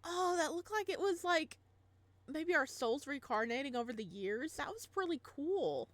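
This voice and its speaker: Excitable woman with very lively pitch. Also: normal speed, no echo. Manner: excitable, gushing reactions. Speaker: gaming channel host. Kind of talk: casual let's play commentary.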